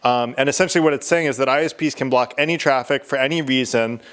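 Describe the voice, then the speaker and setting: A man arguing in a debate, loud and casual, with frequent ums and uhs. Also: mid-range voice, fast talker, clean tone. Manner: loud, casual, many fillers. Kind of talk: loose spoken debate argument.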